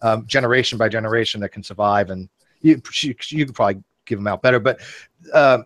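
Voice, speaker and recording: Male guest debater, quick delivery, casual but argumentative, dry audio. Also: on the low side, dry recording.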